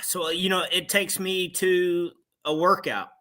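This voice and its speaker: Male co-host, unhurried and emphatic, sharp bright timbre. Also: high-pitched, level tone. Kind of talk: casual morning show chat.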